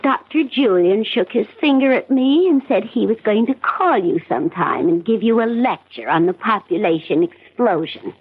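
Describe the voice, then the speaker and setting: A woman's voice: medium pitch, animated, normal speed, hardly any pauses, husky. An American woman playing a weary, bitter housewife in her forties in a 1970s radio drama. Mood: bitter, resigned. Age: 40s. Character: weary, bitter forty-one-year-old housewife and mother, pregnant. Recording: muffled vintage AM radio drama recording.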